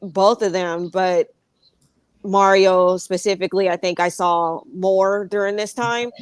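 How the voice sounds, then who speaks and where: A woman artist speaking in a reflective, measured way in an unscripted panel talk. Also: mid-range voice, fairly even intonation, clean tone, no room sound.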